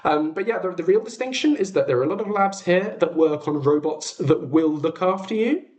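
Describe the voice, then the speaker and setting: A man's voice: on the high side, expressive, a little fast, a little mellow. A British man hosting a casual livestream, chatting in a rambling, explanatory way with tangents. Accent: British English. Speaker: livestream host, robotics researcher. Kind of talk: casual livestream chat to viewers. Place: small furnished flat, indoors.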